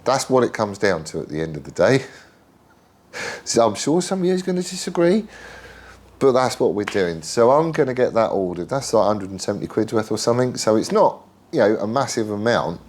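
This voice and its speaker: A man's voice: fairly low pitch, very animated, fairly quick, darkish tone. A middle-aged man with a head cold, chatting casually and rambling through a workshop update with plenty of ums and local slang. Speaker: motorcycle builder and channel host. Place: home workshop.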